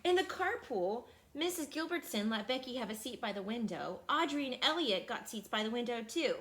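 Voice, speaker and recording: A woman reading a children's picture book aloud expressively, with dramatic emphasis and drawn-out phrases. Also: fairly high pitch, expressive, a bit bright. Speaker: storybook reader.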